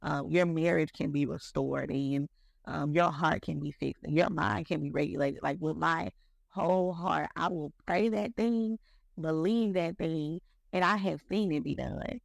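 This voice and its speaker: A speaker in their thirties talking faith in a loose, rambling way on a podcast. The voice has a heavy gravelly fry throughout. It is recorded close and completely dry. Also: medium pitch, a little slow, dry recording. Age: 30s. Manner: rambling, heavy use of "like" and "you know", repeats words. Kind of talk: casual faith talk.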